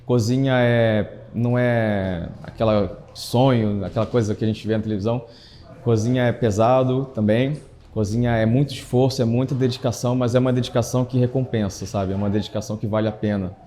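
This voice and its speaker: A man's voice: medium pitch, steady tone, fairly quick, dark tone. A man with a Brazilian accent, a chef instructor giving a reflective, emphatic testimonial for a documentary in short built-up phrases.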